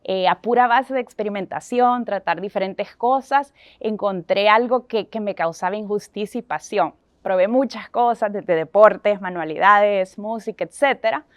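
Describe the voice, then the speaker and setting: A woman's voice: mid-range voice, dry recording. A woman in her thirties from El Salvador with a Salvadoran Spanish accent, giving an earnest conference talk with frequent restarts and English phrases mixed in. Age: 30s. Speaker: conference speaker. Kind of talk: motivational talk on stage.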